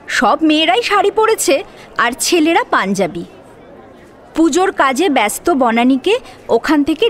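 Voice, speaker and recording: A woman's voice: sits high, slight background noise. A woman reading a scripted story as an audio drama narrator. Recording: music behind the voice. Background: instrumental music.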